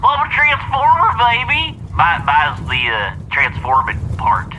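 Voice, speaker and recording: A man's voice: on the high side, wildly swooping pitch, unhurried, sharp tone, highs cut off, a little hiss or hum, heavily acted. A man voicing a small, demented, vengeful animatronic beaver in an audio drama, taunting and theatrical. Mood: gloating, vengeful. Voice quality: eerie laugh.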